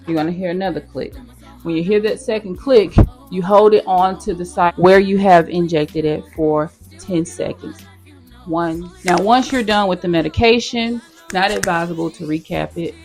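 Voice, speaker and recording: A nurse chatting casually with her viewers in a how-to vlog, talking straight to them as y'all, her voice hoarse. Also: fairly low pitch, normal speed, a little mellow, faint music behind. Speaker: nurse sharing her own experience.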